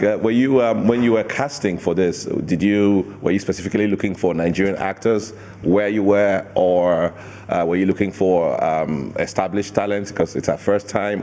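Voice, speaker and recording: Male moderator, low voice, long stammering questions on a festival panel. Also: a little mellow, slight background noise. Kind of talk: hosting a festival Q&A.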